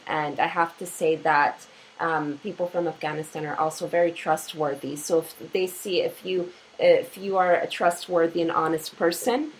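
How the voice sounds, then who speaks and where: A Canadian-born woman whose first language is Polish, interviewing a guest in an earnest, measured way and often repeating her phrases. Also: low-pitched, fairly bright tone, poor audio.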